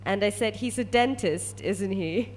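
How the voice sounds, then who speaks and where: A woman's voice: medium pitch, measured pace, slight background noise. A woman from Malaysia, a research scientist, telling a personal story to an audience as a testimonial.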